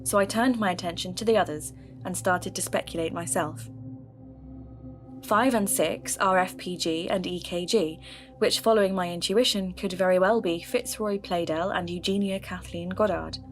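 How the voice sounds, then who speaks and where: An actress playing a curious, determined podcast investigator, narrating her case in a thoughtful, deliberate way. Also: on the low side, faint music behind, close to an everyday voice. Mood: reflective, intrigued. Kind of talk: scripted podcast narration, measured and reflective.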